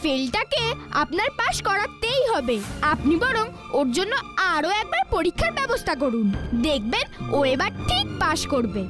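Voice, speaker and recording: Earnest young cartoon boy, very high pitch, animated intonation, kind, helpful. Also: normal speed, heavily acted. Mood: pleading. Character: kind, earnest schoolboy, helpful big brother to friends. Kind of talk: children's cartoon dubbing. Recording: children's music behind the voice.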